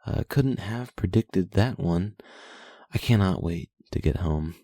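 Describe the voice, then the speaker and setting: A man's voice: low-pitched, measured pace, gravelly, dark tone, dry recording. A young man casually reading his journal aloud late at night, rambling, swearing and putting himself down. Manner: profane, self-deprecating, rambling.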